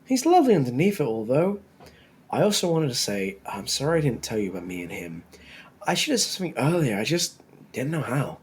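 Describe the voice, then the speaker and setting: A woman voicing a gentle, apologetic male friend in a fantasy story, talking in a casual, conciliatory way. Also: rumbling low, big pitch swings, fairly quick, slightly rough, pitched below the performer's own voice, heavily acted. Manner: casual, soft-hearted, conciliatory.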